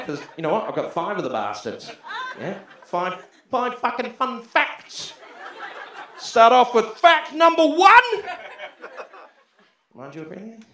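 Brash New Zealand comedian in echoey club. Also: male voice, fairly high pitch, a little hiss or hum. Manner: brash, sweary, shouts punchlines. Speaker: stand-up comedian. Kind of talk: stand-up comedy set. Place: comedy club stage, brick wall. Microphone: handheld mic.

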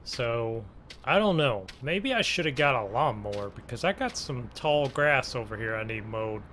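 A man giving casual role-play gameplay commentary in a halting way, musing aloud to himself. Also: mid-range voice, expressive, a bit bright. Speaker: gaming channel host. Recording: clear engine and vehicle noise behind. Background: game vehicle engine sounds.

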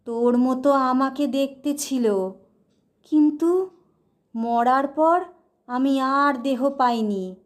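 A woman voicing a menacing, vengeful male ghost who speaks in slow, drawn-out threats. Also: high voice.

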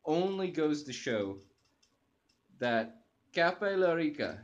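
A man's voice: a little high, very animated, slow, muffled recording. A young man hosting a casual coffee review to camera from his living room sofa, talking enthusiastically with hesitant restarts and fillers, recorded on a close webcam. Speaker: channel host reviewing coffee. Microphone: webcam at close range.

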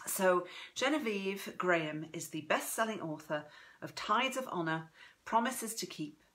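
A woman in her forties hosts a casual livestream from home, talking into a propped-up phone. Her voice sits a little low but has a bright, forward edge, with a slight throaty strain. She chats effusively and greets her viewers one by one. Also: expressive, unhurried. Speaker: author hosting a live book reading. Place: home room, indoors. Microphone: phone propped in front of her.

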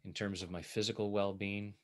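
A man working as a tapping coach, leading guided affirmations in short, measured phrases meant to be repeated. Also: fairly low pitch, little pitch movement.

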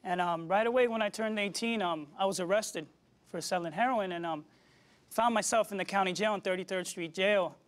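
Soft-spoken young man with a high voice. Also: brisk. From Puerto Rico. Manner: soft-spoken, many "um"s, respectful "yes, sir". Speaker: reverend, guest. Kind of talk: personal testimony in an interview. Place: television studio set, indoors.

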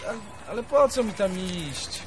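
An actor playing a naive, innocent young grandson in a radio play, speaking in a hesitant, stammering way. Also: male voice, high-pitched, animated, unhurried, noisy background. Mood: reluctant, anxious. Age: young adult. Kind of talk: radio drama acting. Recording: long hall reverb, faint music.

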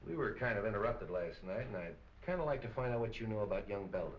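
Gruff, fast-firing police captain played by a man. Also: lowish voice, animated, close to an everyday voice. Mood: probing. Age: middle-aged. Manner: gruff, accusing, clipped interrogator. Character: tough, suspicious middle-aged police captain. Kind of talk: 1940s crime film acting. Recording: muffled old film soundtrack.

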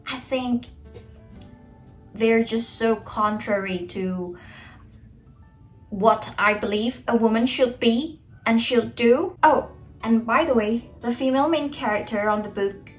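Slow-talking young woman reviewing books. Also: a little high, faint music behind, rough recording. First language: Indonesian. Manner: chatty, opinionated, addresses viewers directly. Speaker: book vlogger. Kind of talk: casual book review vlog. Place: bedroom in front of a bookshelf, indoors.

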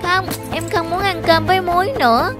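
An earnest young granddaughter from a cartoon. Her voice is high and full of affection. Music plays clearly behind her. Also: a little fast. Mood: upset. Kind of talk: cartoon dubbing.

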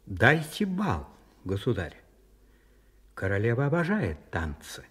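An actor playing a scheming, commanding French cardinal in a radio drama, measured, courtly and insinuating. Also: male voice, on the low side, huge pitch swings, fairly bright tone, slightly rough, exaggerated voice. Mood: courtly, persuasive.

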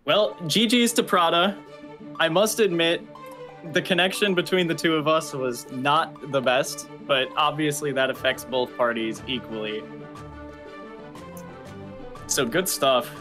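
A man with a nasal, somewhat high-pitched voice chats casually as a game tournament player. Soft music runs behind him. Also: a little slow, long pauses. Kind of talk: casual chat.

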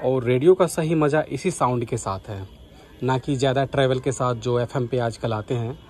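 A man's voice: mid-range voice, normal speed, a little mellow, faint music behind. A man giving casual vlog commentary as a radio hobbyist.

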